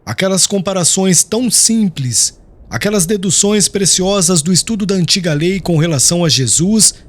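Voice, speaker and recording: Lively male audiobook narrator. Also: high-pitched, normal speed. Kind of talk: scripted narration.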